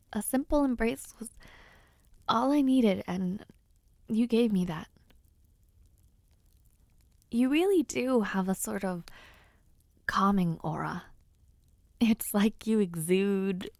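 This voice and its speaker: A woman playing a young noble debutante, sweet, playful and softly hesitant, in an intimate fantasy audio roleplay. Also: medium pitch, vocal fry, no room sound, studio quality. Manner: soft, hesitant, gently teasing.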